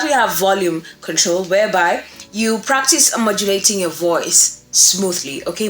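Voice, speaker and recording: A woman's voice: fairly low pitch, fairly bright tone. A woman in her twenties giving an informal lesson to camera at home, conversational and emphatic, often repeating words and checking in with the listener. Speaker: voice-over course presenter, voice actor. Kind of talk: informal instructional talk to camera. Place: small room at home, indoors. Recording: roomy echo, faint music behind. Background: faint music.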